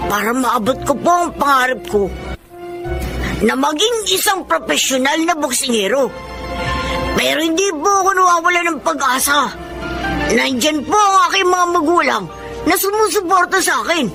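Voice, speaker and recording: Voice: high voice, lively intonation, normal speed, gravelly. A performer playing an earnest, devout young boy boxer, polite and respectful, in a Filipino radio drama. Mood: hopeful narration. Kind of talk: Filipino radio drama acting and first-person narration. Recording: loud music bed behind the voice.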